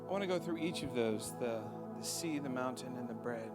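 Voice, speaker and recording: A man leading a closing prayer in a gentle, quiet pastoral manner. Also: mid-range voice, a little fast. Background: soft ambient worship music.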